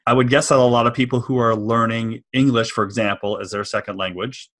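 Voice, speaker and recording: Seorang pria dengan aksen daerah Washington DC, suaranya cerah dan bernada sedang, sering menimpali dengan "ya" saat memandu podcast dari ruang kerja di rumah. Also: male voice, mid-range voice, steady tone, a little fast, fairly bright tone. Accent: Washington DC area American. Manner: agreeable, many short backchannel yeses. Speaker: podcast host. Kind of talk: casual podcast interview over video call. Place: home office with bookshelves.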